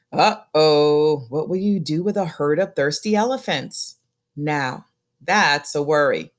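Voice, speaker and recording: A woman reading a children's picture book aloud as a storyteller, with expressive, deliberate phrasing and drawn-out emphasis on key words. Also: low voice.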